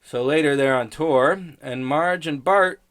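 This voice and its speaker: A man hosting a TV criticism podcast in a casual, rambling, halting and sardonic way, prone to swearing. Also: on the high side, a bit bright.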